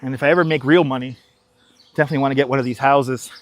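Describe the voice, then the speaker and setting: A man's voice: medium pitch, steady tone, rapid-fire, dry recording. A young man, talking casually and with strong opinions to his livestream viewers while walking outdoors. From New York City. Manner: chatty, opinionated, talks straight to viewers. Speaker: livestream host. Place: outdoors on city streets. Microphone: wireless clip-on mic.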